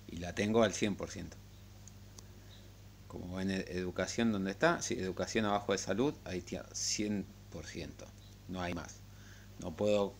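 A man giving a casual, unscripted game tutorial in a halting, rambling way. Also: low voice. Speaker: gaming tutorial host. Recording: clear background noise and hum.

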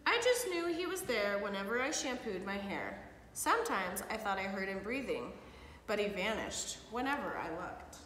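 A middle-aged woman reading a picture book aloud to children with expressive, animated storytelling, recorded in a living room by a camera a few feet away. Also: mid-range voice, normal speed. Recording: echoey hard-walled room, some background noise.

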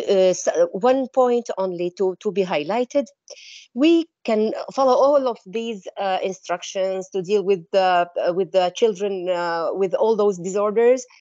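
A woman's voice: mid-range voice, mellow, no room sound. A woman from Syria asking a question in an online webinar, speaking hesitantly and searching for words. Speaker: English teacher, attendee asking a question. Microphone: online meeting call. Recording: dull lo-fi online call.

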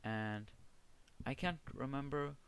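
A man's voice: medium pitch, slow pace, smooth. A young man from the Czech Republic with a strong Czech accent, casually commenting on his gameplay and often stopping to search for words. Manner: hesitant, restarts words, searches for terms. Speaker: gaming video creator. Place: home setup with gaming PC.

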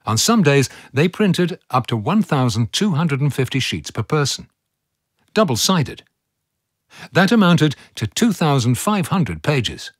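A man's voice: mid-range voice, slightly rough, no echo, studio quality. A man giving scripted narration for a museum audio play, as a storytelling narrator. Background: faint music.

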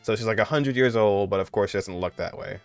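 Low, smooth-voiced young man. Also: quick pace, soft background music. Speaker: video creator reacting to a game trailer. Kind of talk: casual reaction commentary.

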